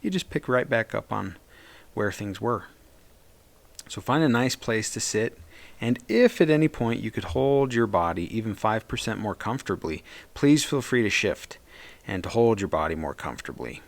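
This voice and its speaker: A man leading a guided meditation as a therapist, speaking in a gentle, soothing and reassuring way. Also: fairly low pitch, very animated, moderate pace, creaky, no room sound.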